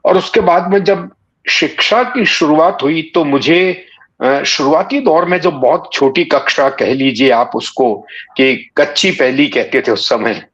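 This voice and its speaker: A man in his sixties from Bikaner in Rajasthan, reminiscing in an interview with halting, deliberate phrasing. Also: fairly high pitch, a bit bright. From Bikaner, Rajasthan, India. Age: 60s. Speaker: interview guest, writer and satirist. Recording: dull, muffled lo-fi audio.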